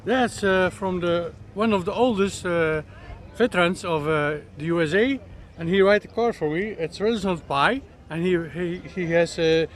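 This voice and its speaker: Belgian man, halting English, high pitch. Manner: halting, searching for words. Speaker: interviewee. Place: outdoors at an event.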